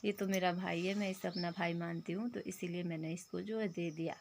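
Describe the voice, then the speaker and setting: Soft pleading young watermelon girl, hoarse lady doing the voice, lowish. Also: steady tone, a little fast, a little hiss or hum. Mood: earnest. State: hoarse throat. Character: poor young girl selling watermelons, gentle.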